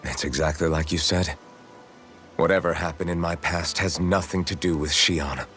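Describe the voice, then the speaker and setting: An earnest young hero from a fantasy game, troubled yet determined. The voice is on the low side and brooding, over clearly audible music. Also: male voice, steady tone, moderate pace, fairly bright tone. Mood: downcast, reflective. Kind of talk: dubbed anime-style game voice acting. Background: prominent background music.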